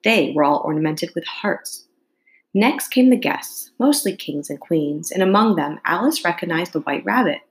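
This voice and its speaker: A woman's voice: on the low side, animated, normal speed. A woman reading a storybook aloud to students like a teacher, carefully and with expression.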